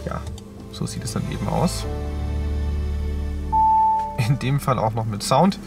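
A man's voice: lowish voice, lively intonation, unhurried, creaky, loud music behind the voice. A man working as a car reviewer, giving a casual, unscripted walkthrough from inside a parked car. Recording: background noise inside a car.

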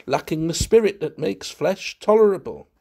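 A man's voice: on the high side, animated, moderate pace, warm tone, pitched above the performer's own voice. A man voicing a flamboyant, affected society wit in a storybook, with theatrical, gleeful, lofty prattle. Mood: lofty relish. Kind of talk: dramatised storybook reading.

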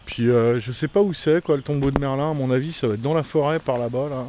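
A man's voice: mid-range voice, fast talker, a little mellow, slight background noise. A man in his forties vlogging casually about a family camper van trip, rambling with many fillers. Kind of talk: casual vlog chat. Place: inside a camper van while driving, then outdoors in a forest clearing. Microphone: action camera on the dashboard or held at arm's length.

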